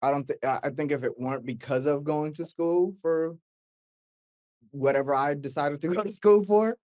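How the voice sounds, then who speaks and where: A young man from Mexico, a guest mix engineer, telling his story in a relaxed, animated way, full of fillers and acted-out quotes. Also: fairly high pitch, moderate pace, dry recording, clean studio sound. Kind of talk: casual interview chat.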